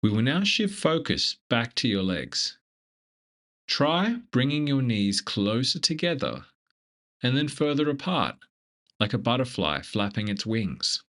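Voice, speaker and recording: A man leading a scripted guided meditation in a gentle, measured, instructive manner. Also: medium pitch, sing-song.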